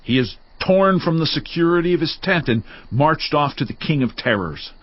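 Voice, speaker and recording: An actor playing a stern biblical accuser who pronounces doom on the wicked in a grave, declamatory, ominous way, for a dramatized audio Bible. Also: male voice, fairly high pitch, lively intonation, normal speed, dry recording. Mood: grim, condemning. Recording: slightly dull sound under clear background music. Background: music.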